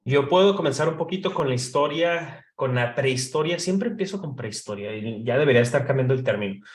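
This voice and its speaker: A man's voice: mid-range voice, lively intonation, brisk. A Mexican man with a slight northern accent, co-hosting a casual panel podcast and explaining history with many fillers and restarts. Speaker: podcast co-host. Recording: roomy echo.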